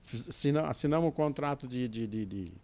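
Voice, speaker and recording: A man's voice: mid-range voice, brisk, a little mellow. Older Brazilian man telling rambling stories. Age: 60s. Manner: rambling, restarts often, adds asides. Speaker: podcast guest, businessman and dispatcher. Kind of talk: relaxed storytelling in a chat.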